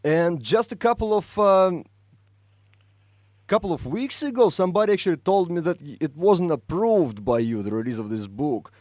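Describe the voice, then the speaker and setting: A man's voice: on the high side, animated, moderate pace, a little mellow, no room sound, clean studio sound. A man, interviewing a guest in an eager, fan-like way. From Russia. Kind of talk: casual interview.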